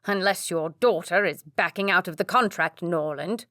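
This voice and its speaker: A woman's voice: on the low side, moderate pace, fairly bright tone, dry recording, clean studio sound, natural voice. A woman voicing a domineering, scheming older nobleman who gives orders in a lazy drawl, in a storybook reading. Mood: commanding.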